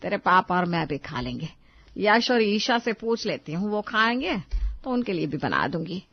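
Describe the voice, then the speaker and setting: A woman's voice: mid-range voice, sing-song, normal speed, no room sound, highs cut off. A woman voicing a weary, placating middle-aged mother in a cartoon family story, coaxing and plaintive. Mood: caring.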